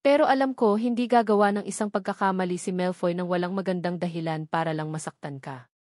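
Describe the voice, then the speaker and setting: A woman's voice: on the low side, fast, a little mellow, no echo, clean studio sound, natural voice. A woman voicing a caring, earnest mother who counsels her grown daughter in a novel reading. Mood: consoling.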